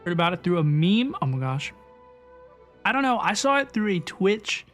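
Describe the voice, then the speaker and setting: A high-pitched male livestreamer chats casually while reacting to a game. He is informal and tends to repeat himself, with faint game music behind him. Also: very animated, normal speed, a bit bright. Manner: chatty, informal, repeats phrases. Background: video game music.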